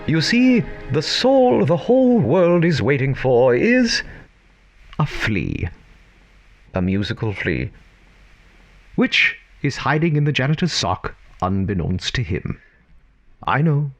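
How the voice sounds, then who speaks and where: An actor playing a whimsical, confiding storyteller, narrating a scripted audio drama with halting, theatrical pauses. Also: male voice, mid-range voice, big pitch swings, soft background music.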